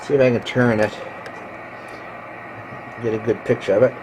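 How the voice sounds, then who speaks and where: A man giving a casual, hands-on video walkthrough as a hobbyist, explaining technical gear in a matter-of-fact, halting way into a handheld camera. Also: mid-range voice, flat delivery, a little mellow. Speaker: ham radio hobbyist showing his equipment. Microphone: handheld camera microphone. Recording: clearly noisy handheld camera recording.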